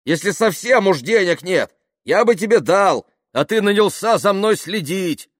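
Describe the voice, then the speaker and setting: A man's voice: low-pitched, sing-song, unhurried, dry recording, studio quality, full character voice. A man voicing a patronizing, hot-tempered businessman in a story, sliding between condescension and outraged bluster. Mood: outraged yelling. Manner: smooth condescension breaking into outraged yelling.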